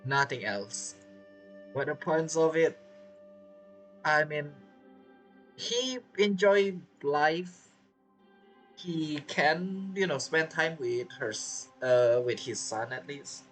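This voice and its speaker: A man's voice: a little high, animated, slow pace, long pauses, a bit bright, faint music behind, low-quality recording. A man giving casual, informal gameplay commentary, emotionally involved in the story as he plays. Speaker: streamer playing a game.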